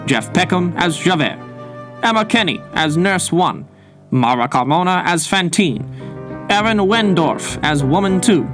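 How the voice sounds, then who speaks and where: A man working as a radio announcer, reading scripted credits in a formal broadcast style. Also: on the high side. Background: music.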